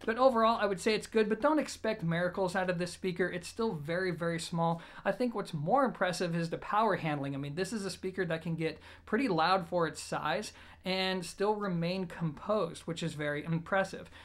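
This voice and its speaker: A man's voice: high voice, fairly quick. A man, giving a conversational, emphatic product review to camera in an explanatory, informal way. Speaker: audio gear reviewer and host. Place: small indoor room, plain wall.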